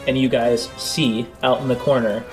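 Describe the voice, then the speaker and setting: A man's voice: mid-range voice, flat delivery, normal speed, over music. A man improvising the narration of a tabletop role-playing game as game master. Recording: clear background noise.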